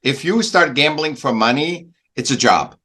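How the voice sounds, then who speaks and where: A man from Vienna with an Austrian accent, a native German speaker, talking casually as a podcast guest in a halting, emphatic way. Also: on the high side, lively intonation, sharp tone. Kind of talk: casual conversational interview.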